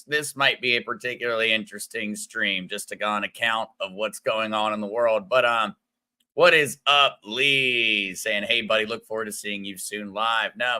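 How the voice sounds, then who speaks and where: Smooth-voiced man hosting a chatty livestream. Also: fairly low pitch, pushed and bright. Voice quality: smooth radio-like voice. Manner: friendly and chatty, greets viewers by name, frequent restarts. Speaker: crypto livestream host. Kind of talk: casual livestream chat reading viewer comments.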